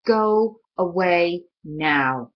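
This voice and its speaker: A woman voicing a frightened, impatient thirteen-year-old boy in a storybook, with tense, sharp retorts. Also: on the low side, expressive, drawn-out words. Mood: tense, defiant. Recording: dull lo-fi recording.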